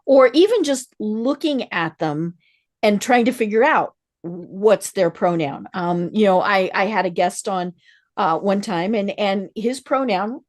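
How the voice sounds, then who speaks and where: A woman's voice: mid-range voice, lively intonation, measured pace. Chatty woman host, lots of mm-hmms. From Colorado. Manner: frequent affirming backchannels, chatty. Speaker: podcast host. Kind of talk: conversational interview.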